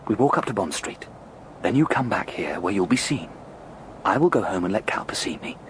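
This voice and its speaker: A gentleman plotting the perfect murder in a radio play. He is theatrical and intense, coaxing his accomplice with exultant, very animated swoops. Some ambient noise sits behind the voice. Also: fairly low pitch, fairly quick. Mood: conspiratorial. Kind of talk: radio drama acting.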